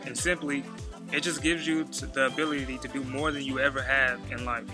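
A man's voice: mid-range voice, flat delivery, brisk. A man in his twenties from Texas, giving an earnest, motivational scripted promo monologue. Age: 20s. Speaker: participant in a promo video. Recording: music bed behind the voice. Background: music.

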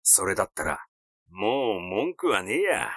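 A man voicing a flippant, cynical amateur sleuth in a story reading, rough and gleefully boastful in his talk. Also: deep voice, big pitch swings, measured pace, a bit bright, no room sound, clean studio sound, voice pushed down, heavily acted. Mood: musing.

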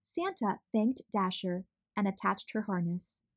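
A woman narrating a children's storybook as a read-aloud host, expressive, measured and clearly enunciated. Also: medium pitch.

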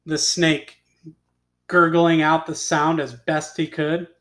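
A man with a high-pitched voice reads a storybook tale aloud. He goes very slowly and deliberately, like a teacher telling it to pupils, putting expression into each phrase. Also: flat delivery, a bit bright. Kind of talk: animated storybook read-aloud.

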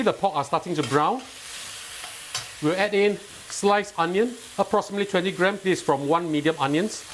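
Singaporean male cooking host, somewhat high voice, patient step-by-step instruction, keen on the food. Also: slight background noise. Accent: Singaporean English. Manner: instructive, step-by-step, lightly enthusiastic. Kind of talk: cooking tutorial voice-over. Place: home kitchen.

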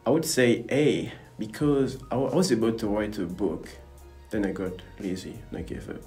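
A young man from Gabon, a university student, speaking in a relaxed, reflective way to camera. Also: fairly low pitch, moderate pace. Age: young adult. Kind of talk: interview to camera. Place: indoor university building lobby. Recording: faint music under the voice.

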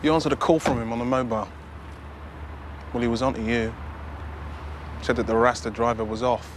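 A man's voice: mid-range voice, steady tone, fairly quick, lightly acted. Undercover police sergeant, male actor: mid-range, streetwise, blunt, sceptical, crime drama, noticeable background noise. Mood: blunt, wary. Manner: blunt, slangy, challenging. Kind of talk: naturalistic TV crime drama acting.

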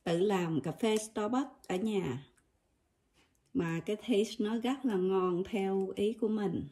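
A woman's voice: mid-range voice, slow, dark tone. A woman with a Southern Vietnamese accent giving a chatty, step-by-step kitchen demonstration and talking straight to her viewers. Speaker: cooking vlogger. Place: home kitchen, indoors. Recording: kitchen clatter of dishes and utensils.

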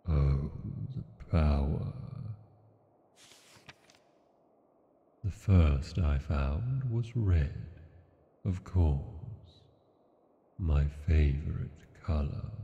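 A man playing an arrogant, brooding dragon king in a fantasy ASMR audio roleplay, speaking deliberately with long pauses and a haughty manner. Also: deep voice, gravelly, dark tone, exaggerated voice. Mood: intense, brooding. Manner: slow, deliberate, pausing, haughty. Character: arrogant, brooding male dragon king reading his journal. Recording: muffled, long cavernous reverb.

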